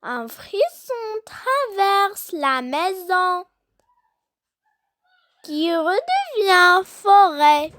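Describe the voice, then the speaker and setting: A very high-pitched, childlike voice recites poetry with a bright tone and lively melody. The delivery is deliberate, broken into short phrases with long pauses between them, as if for young learners to follow. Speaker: poem reciter.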